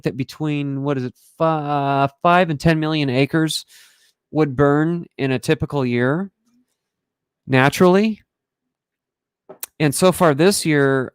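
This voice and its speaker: A hoarse man talking casually on a livestream, rambling and halting, and often clearing his throat. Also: on the high side, dry recording, studio quality. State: hoarse from breathing smoke. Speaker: livestream host. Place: home in wildfire country.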